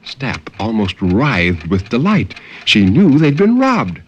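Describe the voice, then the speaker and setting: A man giving a dramatic, deliberate and intense reading of suspense fiction in 1950s radio style. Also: medium pitch, huge pitch swings, breathy edge. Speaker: story narrator. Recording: muffled, noisy 1950s radio recording.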